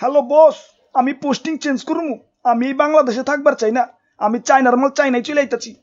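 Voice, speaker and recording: A man's voice: very high voice, steady tone, quick pace, highs cut off. A man voicing a boastful, slangy coronavirus villain in a comic cartoon, with a Bangladeshi accent. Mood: exasperated. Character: blustering coronavirus villain, male, cocky then exasperated.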